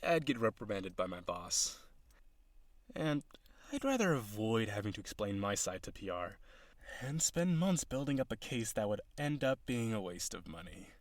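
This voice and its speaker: A man's voice: on the low side, sing-song, normal speed, dry recording. A man playing an awkward, businesslike villain on a blind date in an audio roleplay, talking to the listener in a hesitant, dry, earnestly formal way. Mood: dry, cautious.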